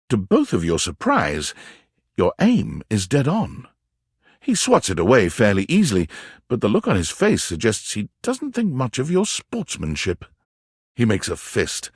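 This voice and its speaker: A man playing a wry, theatrical narrator of a playful dating game, telling scenes in the second person with expressive, dramatic delivery. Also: medium pitch, husky, no echo, studio quality.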